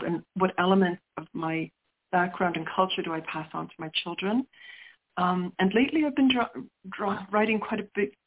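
A woman's voice: on the low side, a little hiss or hum. Reflective middle-aged woman on a phone line. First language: Sicilian. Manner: reflective, searching for words with restarts. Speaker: poet guest. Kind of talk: interview conversation.

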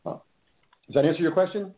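A man working as a hypnotherapist, recounting a client case in a halting, earnest way, often repeating words. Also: on the high side. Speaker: hypnotherapist guest. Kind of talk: conversational storytelling. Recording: echoey tinny phone line.

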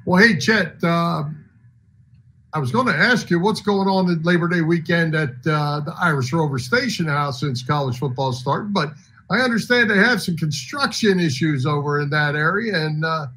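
A man's voice: fairly high pitch, moderate pace, pushed and bright, husky. A man co-hosting a casual sports talk podcast. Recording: background noise behind the voice.

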